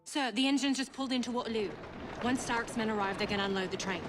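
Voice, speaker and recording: An actress playing a dutiful messenger delivering a quick report. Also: female voice, fairly high pitch, a bit bright, faint music behind, close to an everyday voice. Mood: urgent. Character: brisk woman messenger reporting news.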